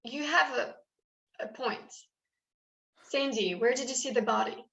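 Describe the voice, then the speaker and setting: An actress playing an authoritative female animal president in a staged reading, speaking formally and deliberately. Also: fairly high pitch, vocal fry, a bit bright, roomy sound, lo-fi sound. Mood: commanding.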